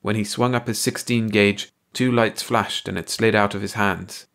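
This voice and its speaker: A man narrating a science-fiction short story aloud in an expressive, measured manner. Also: lowish voice, vocal fry. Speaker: audiobook narrator.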